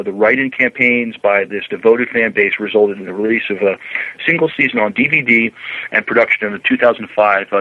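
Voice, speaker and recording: A man co-hosting a podcast, reading news and commenting in a halting, informal way. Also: fairly low pitch, no room sound. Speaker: podcast co-host. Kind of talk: reading news aloud and casual chat. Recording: dull, lo-fi, radio-like sound.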